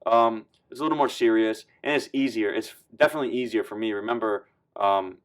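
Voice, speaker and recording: American male YouTuber, slightly low voice, casual rambling explainer. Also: a little fast. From United States. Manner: loose and rambling, restarting sentences. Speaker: YouTuber explaining German rap lyrics.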